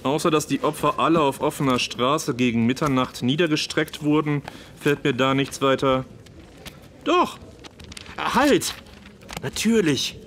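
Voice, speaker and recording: A man playing a gruff, self-important Victorian police detective in a German radio play, brusque and pompous in manner. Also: on the high side, animated, a little slow, fairly bright tone, slight background noise. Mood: pondering.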